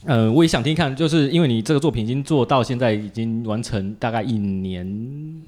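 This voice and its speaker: A man's voice: medium pitch, a little fast, a little mellow. A man with a Taiwanese Mandarin accent hosting a casual podcast interview in a playful, teasing way. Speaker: podcast host.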